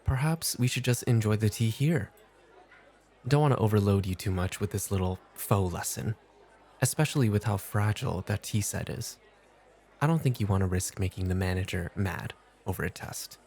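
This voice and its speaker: A man acting as a cool male club host in an ASMR roleplay. His fairly low voice crackles with fry as he teases drily, firm and never rushed. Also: darkish tone, lightly acted. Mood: playful. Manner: firm, dry, measured teasing.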